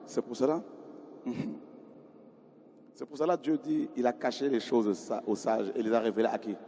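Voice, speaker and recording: A man preaching a sermon in a conversational way, often questioning the congregation and moving from quiet asides to loud cries. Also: mid-range voice, normal speed, a little hiss or hum. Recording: hall reverb with faint ambience.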